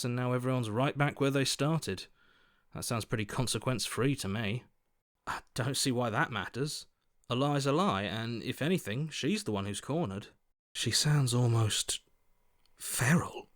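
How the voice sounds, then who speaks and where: A man's voice: medium pitch, moderate pace, a bit bright, close to an everyday voice. A man playing an earnest, anxious hero for a video game, speaking in a plain, urgent, questioning way. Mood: indignant, skeptical. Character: earnest, anxious heroic inner voice, adult man. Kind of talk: video game character acting.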